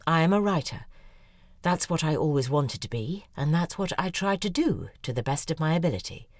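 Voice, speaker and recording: A sardonic, weary novelist. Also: female voice, sits low, expressive, fairly quick, dry recording, studio quality. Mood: wry. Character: acerbic, weary female novelist. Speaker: narrator and lead character, a novelist. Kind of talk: scripted first-person audio drama narration.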